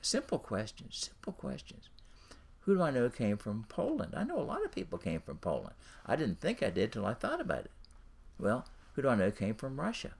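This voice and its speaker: A man's voice: mid-range voice, creaky, slightly rough. A man giving an informal instructional talk as a coach, speaking directly to the listener and repeating his phrases. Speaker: ministry and business coach.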